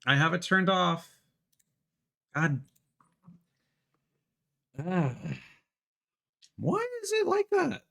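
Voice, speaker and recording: Man live-streaming a game, lively pitch swings. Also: drawn-out words, creaky, long pauses, soft background music. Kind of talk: live let's play commentary, part reading game text aloud.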